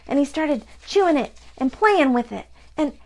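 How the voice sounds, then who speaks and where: A woman voicing a sad, tearful little boy puppy puppet who talks in halting bursts. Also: medium pitch, gravelly. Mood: upset.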